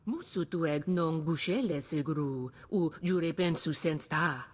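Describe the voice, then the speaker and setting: A woman's voice: low voice, unhurried, a little mellow. An actress playing a female alien in a video game, speaking lines in an invented alien tongue. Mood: earnest. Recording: long reverberant tail.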